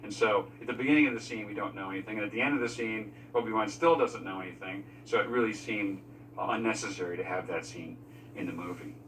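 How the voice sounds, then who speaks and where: A man giving measured, explanatory commentary as a filmmaker in a behind-the-scenes interview. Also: on the low side, fairly bright tone, distant mic, slight background noise, rough recording. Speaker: film crew member discussing editing decisions.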